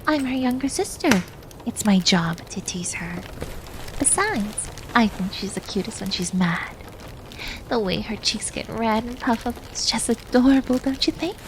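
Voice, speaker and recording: A woman acts out a young maid sister in an ASMR roleplay, soft-spoken and ladylike. She teases and scolds playfully and gets flustered easily. She is close on the mic, with noticeable noise behind her. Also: on the high side, lively intonation, normal speed, lightly acted. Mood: soft, fond. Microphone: close binaural ear microphone. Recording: noticeable background noise, binaural ASMR microphone.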